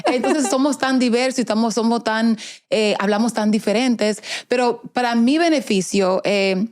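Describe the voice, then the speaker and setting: A woman's voice: on the high side, moderate pace, a little mellow. A young woman with a Dominican accent, chatting casually as an interview guest, mixing Spanish and English and laughing often. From Dominican heritage, raised in US Virgin Islands. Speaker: guest, media personality. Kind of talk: casual bilingual interview chat.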